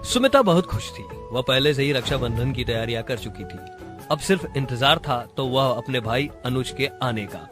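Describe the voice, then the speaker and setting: A man tells a cartoon horror story in scripted narration. His pitch sits in the middle for a man, and music plays clearly behind his voice. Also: animated, normal speed.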